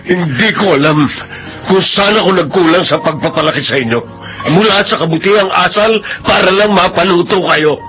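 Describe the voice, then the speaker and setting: Earnest, wounded Filipino dad, radio melodrama; fairly high voice, weighty delivery, music behind. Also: male voice, normal speed, sharp tone, poor audio. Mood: hurt, reproachful. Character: earnest, wounded middle-aged father and son-in-law. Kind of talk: Filipino radio drama acting.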